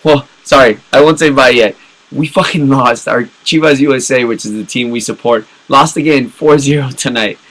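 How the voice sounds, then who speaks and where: A man's voice: mid-range voice, normal speed, fairly bright tone, rough recording. A man co-hosting a casual live video podcast, rambling along with crude jokes. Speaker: podcast co-host.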